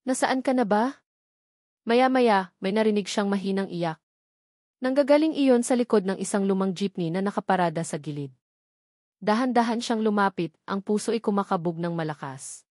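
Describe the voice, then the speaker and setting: Audiobook narrator: woman, medium pitch, scripted dramatic romance delivery, very dry sound. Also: moderate pace, a little mellow, no room sound.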